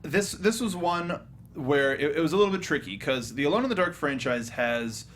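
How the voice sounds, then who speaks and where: A man hosting a casual movie review panel, talking in a halting, jokey way. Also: on the high side, expressive, fairly bright tone, a little hiss or hum. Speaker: host.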